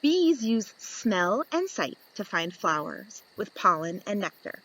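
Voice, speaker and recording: A woman reads a children's storybook aloud at a gentle, slow pace. Her pitch moves up and down a great deal. She jumps up into higher voices whenever a question comes up. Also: low-quality recording. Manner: slow, lively reading with high voices for the questions. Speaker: storybook reader. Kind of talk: reading a children's picture book aloud.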